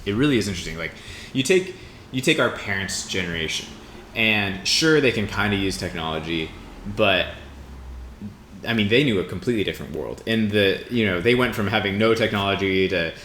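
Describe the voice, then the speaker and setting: A man in his mid-twenties with a bright, forward voice that sits a little low. He talks casually and reflectively, wandering through his thoughts in an informal way. The room adds noticeable echo. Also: a little fast. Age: mid 20s. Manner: rambling, informal with mild swearing. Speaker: podcast co-host. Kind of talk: casual reflective podcast conversation. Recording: roomy echo with faint background noise.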